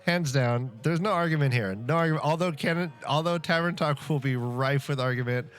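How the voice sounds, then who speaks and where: A man's voice: fairly high pitch, brisk, smooth, faint music behind. A man co-hosting a podcast, talking casually and wryly, repeating phrases for emphasis. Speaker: podcast co-host. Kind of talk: casual comedic banter and book discussion.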